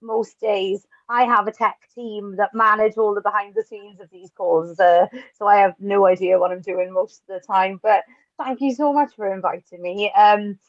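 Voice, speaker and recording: A woman's voice: medium pitch, fairly even intonation, moderate pace, dry recording, lo-fi sound. A Welsh woman in her thirties, telling her own story in a chatty, self-deprecating way at an online event, with light asides. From Wales. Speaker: webinar speaker. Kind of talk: personal talk at an online event.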